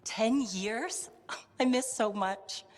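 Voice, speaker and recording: A woman voicing a reflective, gentle cartographer just freed after ten years, in a video game. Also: on the high side, slow pace.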